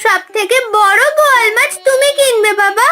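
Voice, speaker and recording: A woman's voice: extremely high pitch, normal speed, bright, forceful tone, soft background music. A piping, sweet little cartoon girl. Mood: tearful, consoling. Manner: childlike, plaintive. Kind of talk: Bengali cartoon folk-tale acting.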